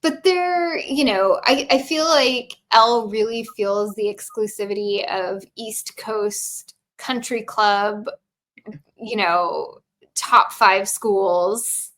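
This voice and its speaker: A woman's voice: slow pace, fairly bright tone. A woman co-hosting a casual chat, thinking aloud about a film with many "you know"s and "um"s. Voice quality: high-pitched voice. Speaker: podcast co-host.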